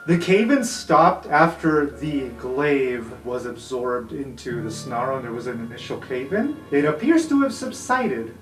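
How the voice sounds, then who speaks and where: A man narrating an improvised tabletop fantasy game as game master, in an excitable, dramatic way. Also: mid-range voice, measured pace, hardly any pauses. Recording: roomy echo, distant, background music.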